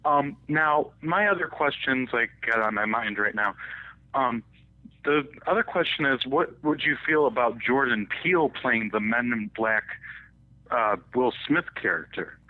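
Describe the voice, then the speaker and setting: A man chatting casually as a panel contributor, halting as he works out his thoughts, heard over a narrow call line. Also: mid-range voice, expressive. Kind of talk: casual chat. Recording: tinny, narrow telephone-like line.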